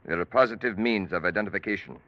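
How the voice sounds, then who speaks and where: An actor playing a cold, calculating crime-drama surgeon in 1940s radio style, speaking formally and precisely with unruffled menace. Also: male voice, deep voice, fast. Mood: clinical, insistent. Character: cold, calculating male surgeon extorting his criminal patients. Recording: muffled vintage radio drama recording.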